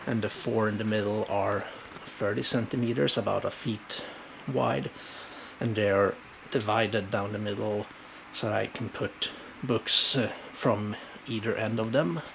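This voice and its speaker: Swedish-accented non-native man, gravelly, unhurried, fumbling for words, home recording. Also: on the low side, fairly even intonation, noisy background. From Sweden. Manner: halting, with many fillers and self-corrections. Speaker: book collector showing his shelves. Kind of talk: casual home video walkthrough. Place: home room.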